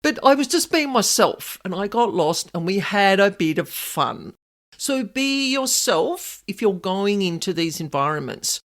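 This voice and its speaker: Loud, lively woman. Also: medium pitch, measured pace. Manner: extravagant, animated, easily sidetracked. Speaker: podcast host, paranormal investigator. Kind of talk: casual storytelling podcast.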